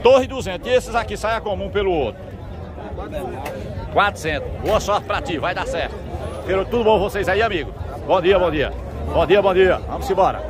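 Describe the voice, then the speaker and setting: A man's voice: high voice, lively intonation, moderate pace, pauses often. A man who hosts a video. He walks through an outdoor livestock market, chatting with traders and greeting everyone in an upbeat, repetitive way. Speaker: market reporter and video host. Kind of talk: on-the-spot interviews while walking. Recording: noisy outdoor market crowd. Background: crowd chatter.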